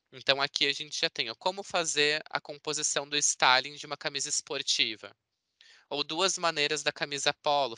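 A man's voice: mid-range voice, bright, forceful tone, clear, resonant tone, no echo. A man with a Brazilian accent giving a chatty, explanatory webinar presentation over a video call. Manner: chatty, explanatory, often seeking confirmation with tag words. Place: indoor room on a video call. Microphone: video call microphone.

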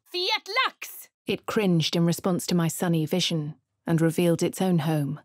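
A posh, plucky adventurer. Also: female voice, fairly low pitch, no room sound, studio quality. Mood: incanting. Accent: posh English. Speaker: player character. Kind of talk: video game voice acting.

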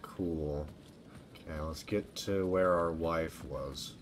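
A male game streamer with a low voice, giving casual commentary. He is laconic and very slow, muttering short remarks with a little background noise.

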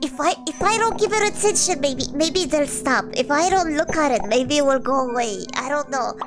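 A woman with a high-pitched voice streams over clearly audible music. She talks casually to her viewers, swearing freely, and comes across as exasperated and teasing. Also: animated, moderate pace. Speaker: livestreamer, VTuber. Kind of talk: casual livestream chat, reading donations.

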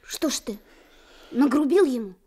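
A woman's voice: high-pitched, lively intonation, normal speed, dark tone, slightly rough. A woman playing a kind, curious little boy in a radio drama, earnest and innocent. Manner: innocent, earnest, simple questions. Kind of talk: Soviet radio drama acting.

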